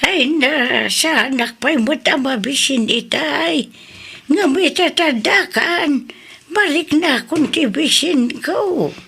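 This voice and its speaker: A woman with a Filipino accent playing a creaky-voiced, protective, long-suffering old grandmother in an audio drama. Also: high-pitched, expressive, takes it slowly, fairly bright tone, full character voice. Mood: fond, caring. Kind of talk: audio drama acting in Ilocano.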